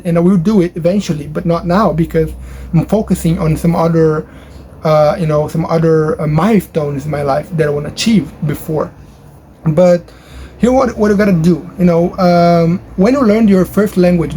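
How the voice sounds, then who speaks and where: A man whose first language is Portuguese, talking casually to camera from his bedroom in a rambling way with many fillers and restarts. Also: warm tone. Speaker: language-learning YouTuber. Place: his bedroom at home, near a highway. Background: traffic noise from a nearby highway.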